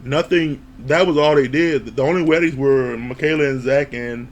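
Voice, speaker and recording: A man giving a casual, animated recap of a reality TV episode, laughing, swearing, and acting out what people said. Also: mid-range voice, moderate pace, a little hiss or hum. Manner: animated, laughs, acts out quoted lines, swears. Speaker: reality TV reviewer.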